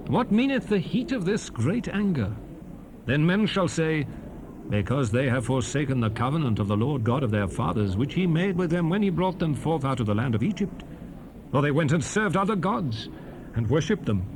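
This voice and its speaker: An actor playing a stern, fervent prophet exhorting his people in a grave, declamatory dramatized scripture reading. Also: fairly high pitch. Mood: grave, indignant. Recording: noisy 1970s cassette rip.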